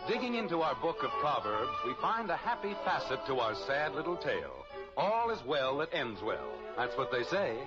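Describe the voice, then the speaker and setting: A man narrates an old radio comedy in a wry storybook manner. His pitch moves widely, under loud music on a muffled recording. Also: huge pitch swings, normal speed. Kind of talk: 1950s radio scripted narration.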